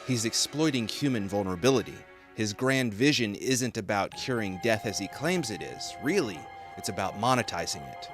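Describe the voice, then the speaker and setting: A man's voice: lowish voice, expressive, normal speed, hardly any pauses, music in the background. A man delivering scripted video-essay commentary with casual asides.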